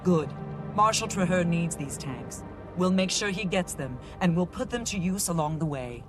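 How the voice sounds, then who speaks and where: A woman's voice: fairly low pitch, expressive, close to an everyday voice. Confident heroic commander played by a woman. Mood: assured. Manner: assured, measured. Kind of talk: video game cutscene acting. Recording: loud game music behind.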